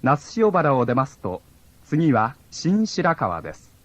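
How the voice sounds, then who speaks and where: A man's voice: mid-range voice, wildly swooping pitch, normal speed, no echo. A man reading scripted onboard train announcements in a formal, polite way. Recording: dull lo-fi old cassette tape.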